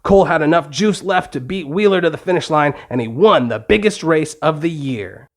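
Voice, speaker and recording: A man's voice: a little high, lively intonation, moderate pace. A man reading a scripted bedtime story for children, telling it in simple, explanatory terms. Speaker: narrator.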